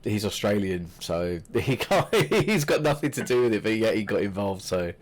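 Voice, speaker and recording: A young man co-hosting a podcast, chatting about wrestling in a halting way with many restarts. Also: on the low side, sing-song. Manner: hesitant, many restarts and fillers. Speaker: podcast co-host. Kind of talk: casual wrestling chat.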